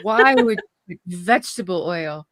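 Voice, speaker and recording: A woman's voice: lowish voice, lively intonation, moderate pace, fairly bright tone, no room sound, studio quality. A woman with a nasal, stuffy allergy voice, teasing and laughing in casual livestream chat.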